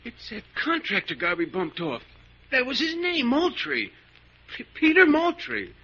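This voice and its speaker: An actor playing a nervous, superstitious bank robber sidekick who stammers and pleads, in a 1940s radio crime drama. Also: male voice, very high-pitched, sing-song, measured pace, gravelly, bright, forceful tone, husky, full character voice. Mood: uneasy. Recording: dull, scratchy 1940s radio recording.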